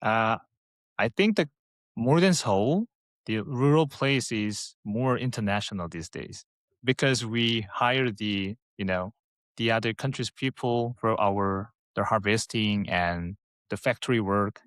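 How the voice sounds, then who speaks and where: Korean-accented host, dry close sound. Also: male voice, fairly low pitch, fairly bright tone, dry recording. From South Korea. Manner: thoughtful, halting, laughs lightly. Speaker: podcast host. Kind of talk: casual interview chat.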